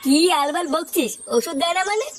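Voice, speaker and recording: An actor voicing a blustering, foul-mouthed cartoon husband with an artificially pitched-up voice, full of indignation. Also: animated, fairly quick. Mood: irritated. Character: hot-tempered, foul-mouthed drunkard husband and club boss. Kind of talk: comic cartoon dubbing. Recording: very noisy track.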